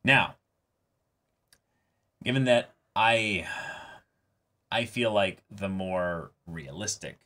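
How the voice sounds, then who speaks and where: A man's voice: deep voice, expressive, drawn-out words, pauses often, sharp tone. A man in casual discussion as co-host of a reaction show, thinking aloud and hedging as he goes. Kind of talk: casual discussion of an anime episode.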